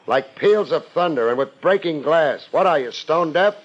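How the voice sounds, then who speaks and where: A man's voice: on the high side, lively intonation, moderate pace. An American man playing a hard-boiled, wisecracking private investigator in a 1950s radio drama, sardonic and unruffled. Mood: needling, skeptical. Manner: sardonic, unruffled, wry first-person narration and blunt questioning. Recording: muffled 1950s radio recording with music.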